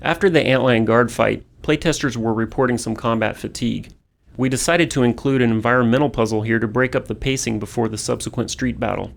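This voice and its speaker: A man with a mid-range voice and noticeable vocal fry reads prepared commentary. He explains design choices as a game developer. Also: mid-range voice, fairly quick.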